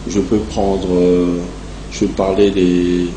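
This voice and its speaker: A man with a Congolese accent giving long, measured and halting answers as a guest in a television interview. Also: low voice, flat delivery. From Democratic Republic of the Congo. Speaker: interview guest, entrepreneur and association president. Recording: echoey room, clear background noise.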